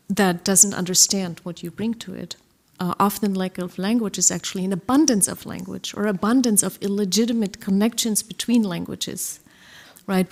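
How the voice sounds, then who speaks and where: Woman musing haltingly on a panel. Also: mid-range voice, a little mellow. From Germany. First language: German. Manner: thoughtful, halting, many fillers and restarts. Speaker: poet and translator on a panel. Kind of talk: live literary conversation.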